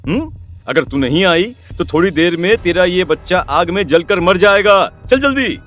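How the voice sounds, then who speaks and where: A man's voice: high-pitched, expressive, normal speed, fairly bright tone. A man playing a cruel, possessed village husband, taunting and menacing, in a horror story.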